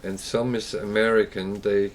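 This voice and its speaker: Low-voiced Danish man streaming a game. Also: level tone, moderate pace. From Denmark. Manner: chatty, rambling, hesitant with fillers. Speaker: livestreamer. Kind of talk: casual gameplay livestream chat.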